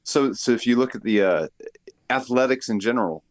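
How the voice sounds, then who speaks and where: A man in a casual podcast chat, thinking out loud with reflective tag questions. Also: mid-range voice, lively intonation, brisk, no echo. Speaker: podcast co-host.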